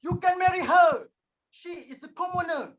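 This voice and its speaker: A man's voice: very high-pitched, normal speed, fairly bright tone. A man acts out a haughty, furious rich father in a stage play reading. He is scornful and commanding, a proud patriarch guarding his standing. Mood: outraged. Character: enraged, haughty wealthy father, a status-proud datuk.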